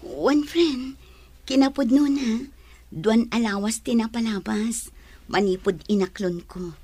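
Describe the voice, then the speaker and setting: An actress playing a soft-hearted, cautious young single mother in a radio drama. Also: fairly high pitch, takes it slowly, slightly rough, no echo. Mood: confiding.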